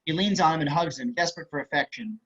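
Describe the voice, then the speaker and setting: A man's voice: a little high, fast talker, a bit bright. A man reading stage and screen directions aloud as scripted narration. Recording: muffled online call audio.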